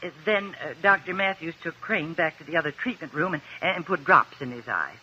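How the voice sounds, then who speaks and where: A man playing a flustered, hesitant courtroom witness in 1950s radio drama, stammering and halting. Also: fairly high pitch, animated, pushed and bright, slight background noise. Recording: tinny old radio recording.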